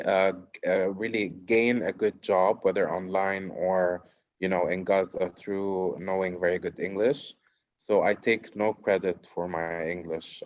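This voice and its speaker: A man from Cyprus answering as a panelist in a relaxed, reflective way, speaking over a video call. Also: sits low, dull audio. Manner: reflective, plain-spoken, frequent "you know". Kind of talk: casual conversational answer. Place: at home in Gaza.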